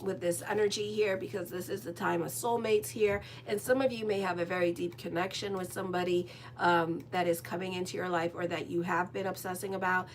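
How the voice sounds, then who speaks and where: A woman's voice: medium pitch, a little hiss or hum. A woman doing a casual tarot horoscope reading as an astrologer, rambling and chatty with lots of fillers and a direct manner. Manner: frank and direct with listeners.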